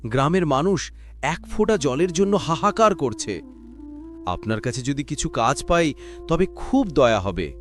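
A man's voice: a little high, very animated, a little slow, a bit bright. A man voicing a poor, honest young labourer in a moral-story cartoon, speaking earnestly and deferentially. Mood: pleading. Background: background music.

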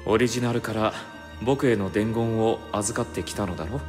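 A man voices an enigmatic game sorcerer of gentle courtesy. His voice is fairly low, calm and measured, with formal politeness. It carries a long reverberant tail over background music. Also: natural voice. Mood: courteous, composed. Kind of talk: anime game voice acting.

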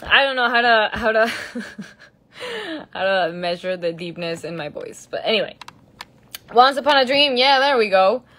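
A woman's voice: a little high, sing-song, unhurried, pauses often, pushed and bright, a little hiss or hum. A young woman from Mexico, chatting casually and giggling as she answers fans on a phone livestream from a large indoor room. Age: young adult. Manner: giggly, chatty, switches between English and Spanish. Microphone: phone camera at arm's length.

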